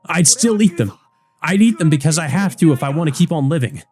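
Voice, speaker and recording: A man's voice: fairly high pitch, animated, fairly quick, a little mellow, soft background music, pitched above the performer's own voice, lightly acted. A man playing a desperate, devoted, grief-stricken young man from a visual novel, pleading and stammering. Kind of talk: visual novel character reading.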